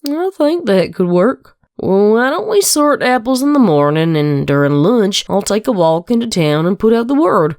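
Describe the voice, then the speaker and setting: A very dry, close recording of a woman playing an earnest country mare in a dramatic story. She has a Southern American accent and a mid-range voice. Her delivery is measured and plain-spoken, like someone carrying the family's worries. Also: mid-range voice, big pitch swings, darkish tone, no echo. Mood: forced calm. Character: earnest, burdened adult farm mare, elder sister. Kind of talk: dramatic fan fiction reading.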